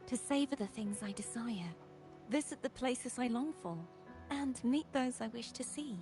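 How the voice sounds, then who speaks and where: A woman playing a gentle young knight in a video game, speaking in a measured, softly formal, wistful way. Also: fairly high pitch, lightly acted. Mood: earnest. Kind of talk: scripted video game voice acting. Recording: game audio with music underneath. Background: music.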